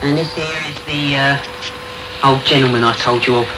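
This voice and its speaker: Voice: medium pitch, steady tone, moderate pace, noisy background, close to an everyday voice. A performer playing a cocky, cheeky young male pickpocket in a radio drama, slangy and mock-formal. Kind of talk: 1990s radio drama acting. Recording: off-air radio broadcast with music.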